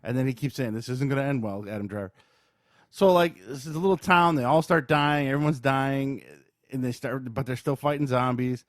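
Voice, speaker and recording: A man's voice: mid-range voice, brisk, no room sound, studio quality. Casual man chatting about movies. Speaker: podcast co-host. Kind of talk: casual chat, movie review.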